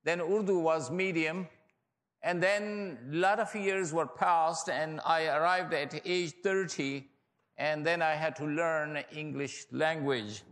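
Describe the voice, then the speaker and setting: A man's voice: on the high side, steady tone, slow pace, fairly bright tone. A man with a Pashto accent, a native Pashto speaker, preaching in a reflective, storytelling way. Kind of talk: sermon. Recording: reverberant hall, distant mic.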